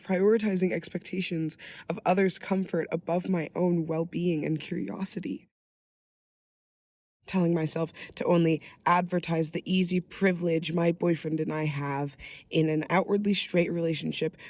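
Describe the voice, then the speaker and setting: A woman reading a dramatic monologue in an earnest, deliberate and emphatic way. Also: lowish voice, darkish tone, dry recording. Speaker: monologue reader.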